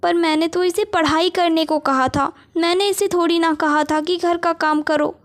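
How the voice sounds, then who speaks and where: A woman's voice: very high-pitched, steady tone, moderate pace, pitched above the performer's own voice. A woman voicing a harsh, scolding mother in a spoken storybook. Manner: sharp, scolding, later pleading. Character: mean middle-aged mother, harsh and scolding.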